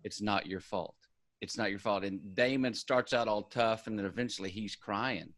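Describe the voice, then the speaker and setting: A man's voice: fairly low pitch, normal speed, a bit bright, no echo, studio quality. A man hosting an interview, talking in an earnest and emphatic way. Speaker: show host. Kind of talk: conversational interview.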